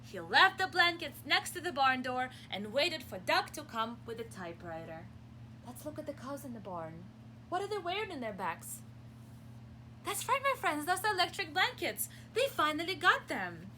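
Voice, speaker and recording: A woman reading a picture book aloud to young children as a preschool teacher, expressively, pausing to explain simply and ask questions. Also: sits high, slight background noise. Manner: expressive, asks questions and pauses for answers.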